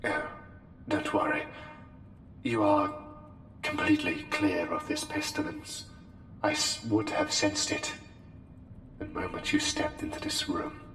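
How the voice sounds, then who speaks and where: In a reverberant hall with music behind, a man voices a courtly, intense male plague doctor, something not quite human. He speaks low and very slowly in formal, old-fashioned phrases with careful pauses, as intimate ASMR roleplay. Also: huge pitch swings. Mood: intent, reassuring. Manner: formal, archaic wording, hesitant pauses, deliberate.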